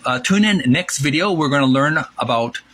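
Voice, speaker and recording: Man, video host, animated pitch, forceful bright tone, halting commentary, drawn-out emphasis, music underneath. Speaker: host of a retro gaming channel. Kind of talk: informal video commentary with read-aloud passages.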